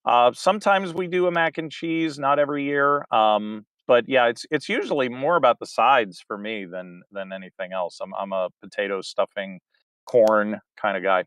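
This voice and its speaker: A middle-aged man with an average-pitched voice talks casually as an interview guest. He is easygoing with a dry sense of humor. The recording is close, with very little room sound. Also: normal speed, a bit bright, smooth, dry recording. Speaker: interview guest, local theatre director. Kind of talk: casual chat.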